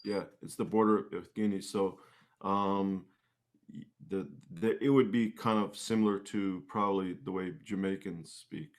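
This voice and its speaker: Deep-voiced man in casual, halting interview chat. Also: steady tone. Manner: halting, rephrases mid-thought. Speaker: interview guest, singer-musician. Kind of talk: casual conversational interview answers.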